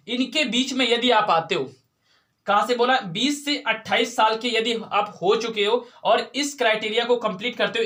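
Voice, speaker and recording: High-pitched man teaching. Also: pushed and bright. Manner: repeats key points, asks and answers own questions. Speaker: exam coaching teacher. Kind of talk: informal explanatory lecture.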